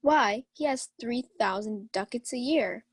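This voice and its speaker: Drunken uncle knight played by a woman for radio Shakespeare: blustering, defiant, fairly high voice, close and dry. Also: measured pace, husky, dry recording. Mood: boastful. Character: hard-drinking, blustering uncle, a carousing old knight. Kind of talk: student Shakespeare radio acting.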